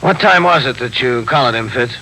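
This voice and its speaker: A male actor as a police captain in a 1950s radio drama. He speaks briskly in a medium-pitched, authoritative voice, terse and unimpressed. A light hum sits under the old recording. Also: pushed and bright, natural voice, poor audio. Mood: probing. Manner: terse, probing, matter-of-fact questioning.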